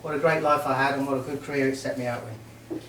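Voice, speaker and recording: A middle-aged man from Merseyside giving a halting, joking best man's speech at a wedding reception. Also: mid-range voice, steady tone. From Merseyside, England. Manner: warm, joking, halting with many ums. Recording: roomy hall, mic far off, faint noise.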